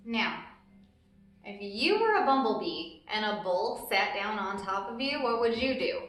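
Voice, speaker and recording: A woman's voice: mid-range voice, sing-song, measured pace, a bit bright. A woman hosting a storytime, reading a children's book aloud expressively and explaining things simply for young listeners. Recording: very echoey, reverberant room.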